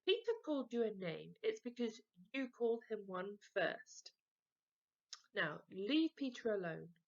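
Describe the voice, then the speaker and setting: A woman voicing a brisk mum of young boys, firm, bossy and reproving, in a children's storybook. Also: mid-range voice, big pitch swings, creaky, highs cut off.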